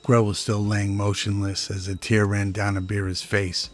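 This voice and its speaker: A man narrating a sci-fi radio drama as a measured storyteller, in scripted prose. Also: lowish voice, monotone, soft background music, lightly acted.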